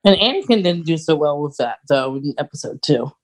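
A man's voice: a little high, lively intonation, fairly quick, no echo. A man co-hosting a podcast in casual chat, mostly agreeing briefly and now and then asking questions. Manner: brief backchannel agreement, laughs along, asks questions. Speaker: podcast co-host.

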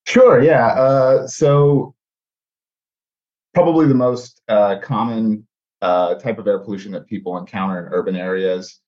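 A man's voice: lowish voice, a little slow, dull audio. A man in his thirties, born in Mexico and raised in Texas, talking as a guest in a casual podcast interview with lots of "uh" fillers. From born in Mexico, raised in South Texas. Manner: frequent "uh" fillers, animated and friendly. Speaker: podcast guest, air pollution scientist.

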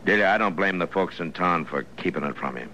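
An American actor playing a stern, plainspoken lawman in an old radio western, firm and regretful, with measured, laconic delivery. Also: sits low, expressive, pushed and bright. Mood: regretful, steady. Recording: noisy vintage radio recording.

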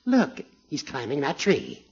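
An actor playing a genial, wordy judge with florid, formal phrasing in a 1950s radio comedy. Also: male voice, fairly high pitch, big pitch swings, measured pace, creaky, darkish tone, slightly rough, exaggerated voice. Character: genial, wordy older judge, Gildersleeve's friend. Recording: dull, muffled old radio recording.

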